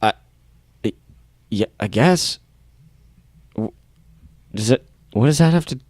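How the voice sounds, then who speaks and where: A man playing an irritable, skeptical podcast guest, blunt and impatient with a deadpan grumble, in a mock interview. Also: medium pitch, takes it slowly, no echo. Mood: grumpy.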